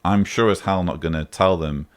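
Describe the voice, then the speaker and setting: A man's voice: low voice, moderate pace. A man hosting a vlog, answering a voicemail in emphatic, argumentative casual commentary. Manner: emphatic, argumentative, rising sharply on key points.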